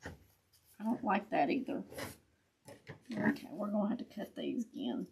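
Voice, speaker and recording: A woman with a raspy voice, chatting casually with viewers as she hosts a live craft tutorial. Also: mid-range voice, huge pitch swings, a little slow, a little mellow, a little hiss or hum. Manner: chatty, laughs often, talks to viewers as y'all.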